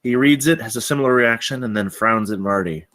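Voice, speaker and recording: A man's voice: medium pitch, fairly quick, a bit bright. A man reading stage directions as scripted narration at a film table read.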